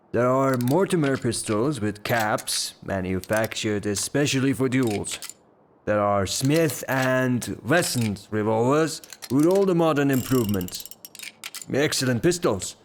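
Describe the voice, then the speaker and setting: An actor playing a hot-tempered, blustering rustic gentleman in a theatrical audio drama, declaiming his lines. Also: mid-range voice, lively intonation, measured pace, a little hiss or hum, close to an everyday voice. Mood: instructing.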